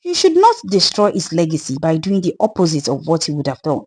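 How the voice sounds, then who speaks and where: Woman voicing a sorrowful Nigerian ghost widow, very animated. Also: deep voice, brisk, slightly rough, heavily acted. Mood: reproachful. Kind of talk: dramatised folktale narration.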